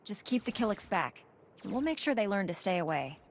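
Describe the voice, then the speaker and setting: An actress playing a confident female warrior monk in a video game, calm, assured and formal. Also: medium pitch, a little fast, slight background noise, close to an everyday voice. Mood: calm, resolute. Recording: faint music behind the voice.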